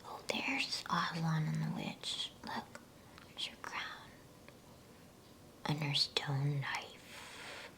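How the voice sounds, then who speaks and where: A woman reading a bedtime story in a breathy, soothing ASMR whisper. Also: deep voice, little pitch movement. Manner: hushed, unhurried, soothing delivery. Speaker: storybook reader.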